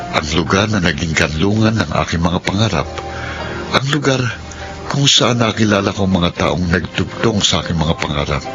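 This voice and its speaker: A man's voice: low voice, close to an everyday voice. An actor playing an earnest, respectful young working man who speaks sincerely in a radio drama. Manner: polite, sincere. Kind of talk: radio drama acting and narration.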